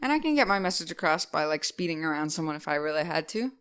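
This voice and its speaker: A woman co-hosting a casual podcast, chatting animatedly with lots of "like" fillers and sudden loud bursts. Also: low voice, sing-song, fast talker, smooth. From Rhode Island. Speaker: podcast co-host. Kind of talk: casual chat.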